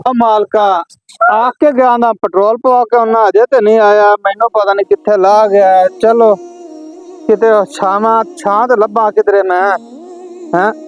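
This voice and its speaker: A man's voice: high voice, level tone, normal speed, lo-fi sound, lightly acted. A man playing a pious, patient old village father in an amateur moral drama, with a Pakistani Punjabi accent and a prayerful, sighing manner. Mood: weary, puzzled. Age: older. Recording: music laid over the dialogue.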